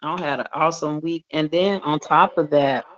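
A woman's voice: low-pitched, steady tone, moderate pace, a bit bright. A woman of fifty co-hosting an open mic show, talking casually with exuberant, churchy enthusiasm. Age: 50s. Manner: exuberant, churchy, talks to the audience as y'all. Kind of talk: casual chat. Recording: dull lo-fi online radio audio.

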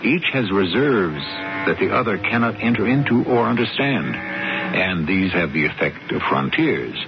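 A male host giving measured, reflective narration between scenes of a radio drama. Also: fairly low pitch, very animated, background noise. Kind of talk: scripted narration. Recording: muffled old radio broadcast.

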